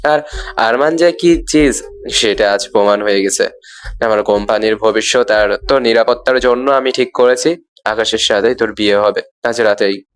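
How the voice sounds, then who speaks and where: A man's voice: fairly low pitch, moderate pace, close to an everyday voice. A man playing a stern, authoritative middle-aged businessman father in an audio love story, firm and grave. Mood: stern, decisive.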